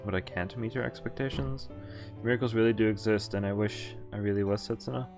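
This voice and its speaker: Male game streamer, lowish voice, reads story text with offhand reactions, light background music. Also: fairly even intonation, fast talker. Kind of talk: live visual novel playthrough, reading text aloud with casual reactions.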